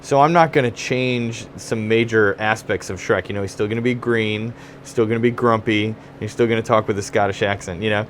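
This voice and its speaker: A man's voice: lowish voice, steady tone, a little fast, hardly any pauses, a little hiss or hum. A young man from Chicago with a Midwestern accent, a stage actor chatting backstage with lots of fillers. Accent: Midwestern American. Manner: chatty, laid-back, many fillers. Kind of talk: casual backstage interview. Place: theater dressing room.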